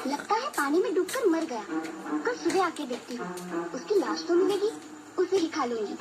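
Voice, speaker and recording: A man voicing an eager, excitable, hungry young demon daughter in an animated storybook. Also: extremely high pitch, moderate pace, pitched above the performer's own voice. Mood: scheming. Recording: loud music behind the voice.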